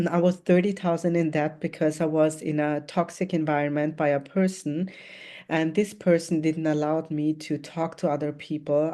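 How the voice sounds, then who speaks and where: Low-voiced woman telling her story. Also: mellow. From Austria. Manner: earnest, reflective storytelling with hesitations. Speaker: network marketing speaker. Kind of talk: motivational talk about her life. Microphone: video call, remote.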